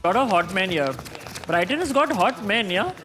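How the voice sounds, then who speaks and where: A man's voice: high voice, sing-song, normal speed. A man with an Indian accent in casual conversation as a documentary participant. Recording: loud music behind speech.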